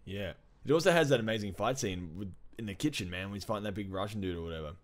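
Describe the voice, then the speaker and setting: A man with a lowish voice talks as a podcast co-host. His chat is casual and keen, peppered with slang and swear words, like someone enthusing to a friend. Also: fast talker. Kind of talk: casual pop-culture chat.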